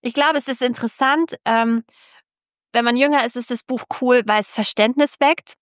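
A woman's voice: a little high, moderate pace, clear, resonant tone, no echo, studio quality. A middle-aged woman speaking candidly and emphatically as an author being interviewed on a podcast, often repeating words for emphasis.

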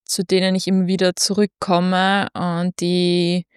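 A woman's voice: fairly low pitch, monotone, unhurried, clean tone, no echo. Casual woman co-host, dry close sound. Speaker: podcast co-host. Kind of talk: casual literary chat.

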